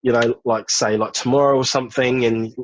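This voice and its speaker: A man as a podcast guest, giving relaxed job advice with many fillers in casual chat. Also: mid-range voice, fairly quick, rough recording. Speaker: guest, job search business director.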